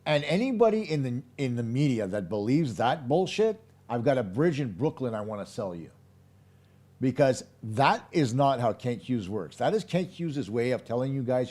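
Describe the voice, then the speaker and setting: A man hosting a live post-game hockey show, his voice hoarse, talking in an emphatic, opinionated way straight to his viewers. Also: mid-range voice, darkish tone. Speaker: hockey podcast host.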